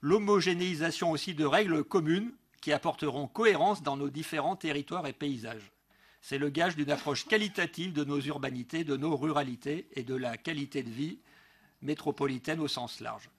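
Husky middle-aged man giving a council speech. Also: on the high side, moderate pace. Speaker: local elected councillor. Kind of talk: prepared political speech at a council meeting. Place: large council meeting room, indoors. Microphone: gooseneck desk microphone.

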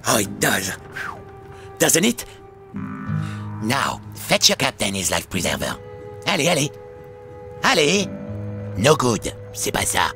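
A bossy, gravelly hermit crab played by a man. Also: mid-range voice, wildly swooping pitch, takes it slowly, long pauses, pitched above the performer's own voice, exaggerated voice. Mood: vain. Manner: rude, fussy, commanding. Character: bossy vain grumpy male hermit crab. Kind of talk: storybook character voice. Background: music.

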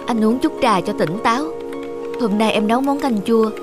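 A woman playing a gentle, caring young wife in a cartoon. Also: a little high, normal speed, over music, lightly acted. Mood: tender, caring. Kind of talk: cartoon voice acting.

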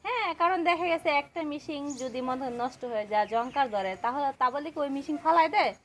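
A woman's voice: sits high, a little fast. Young Bangladeshi woman, noisy recording. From Kishoreganj, Bangladesh. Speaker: interview guest seeking a husband. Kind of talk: colloquial on-camera personal interview.

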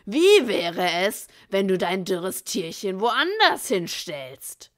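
Woman voicing gruff bullying outlaw Bill. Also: mid-range voice, very animated, slow pace, no room sound, clean studio sound. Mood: mocking. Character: fat menacing male outlaw cowboy, gruff bully.

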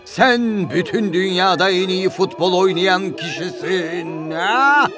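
A man's voice: high voice, takes it slowly, over music. A man playing a scheming, gloating villain in a cartoon, with theatrical salesman-like patter. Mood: commanding, smug. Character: scheming, gloating male villain who hypnotizes footballers. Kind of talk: cartoon dubbing.

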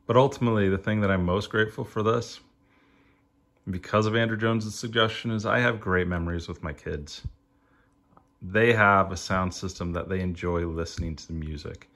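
A middle-aged man giving a reflective personal review, in short emphatic phrases with rhetorical questions and halting pauses. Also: low-pitched, fairly even intonation, a bit bright. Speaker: audio hobbyist reviewer.

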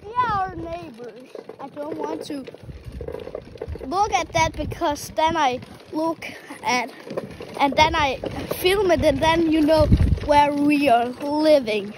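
A woman's voice: high-pitched, slow, background noise. A woman chatting playfully in a casual vlog, rambling and stumbling over her words. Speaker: vlogger.

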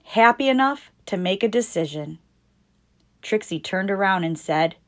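A woman reading a picture book aloud as a storytime host, deliberate and expressive for young children. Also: mid-range voice. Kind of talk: scripted picture-book narration read aloud.

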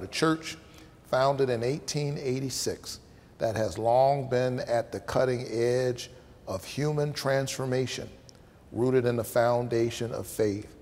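Pastor in a reverberant hall. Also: male voice, mid-range voice. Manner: warm preacherly cadence, stretched phrases. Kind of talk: pastoral welcome and announcements. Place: indoors, church hall with blurred backdrop. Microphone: lapel.

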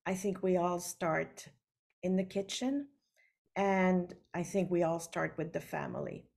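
Swiss-born woman, french native, talking slow on a video call, lowish voice, lots of ums. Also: creaky, darkish tone. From Switzerland. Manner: unhurried, with many fillers. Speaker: author guest. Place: home study with full bookshelves. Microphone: laptop webcam on video call.

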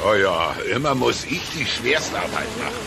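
A man playing a grumpy, hot-tempered cartoon captain in a children's audio play, gravelly and blustering, with music behind. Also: fairly low pitch, sing-song, noisy background. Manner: drawn-out, blustering grumbling.

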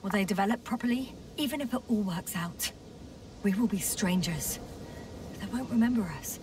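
An actress playing a thoughtful, worried woman scientist exploring space, speaking in a hushed, measured, earnest way in a video game. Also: medium pitch, recorded from a distance, background noise, lightly acted. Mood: sad, worried. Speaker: crew scientist. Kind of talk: dramatic game voice acting.

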